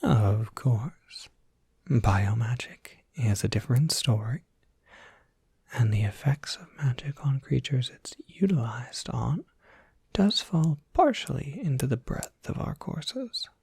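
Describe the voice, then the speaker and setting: An ASMR performer playing a courteous, soft-spoken university professor, whispering in formal, measured phrases with a breathy, husky voice. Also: medium pitch, expressive, no echo, heavily acted. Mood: explanatory, gentle. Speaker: professor overseeing a college major.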